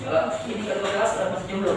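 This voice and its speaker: A man's voice: sits high, fairly even intonation, rapid-fire, distant mic. A male law lecturer with a mixed Makassar and Javanese Indonesian accent, teasing his students and tagging his sentences with "ya". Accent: Indonesian, mix of Makassar and Javanese. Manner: teasing students, frequent "ya" tags. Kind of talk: joking classroom lecture. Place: university lecture room. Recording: echoey classroom from afar, noisy.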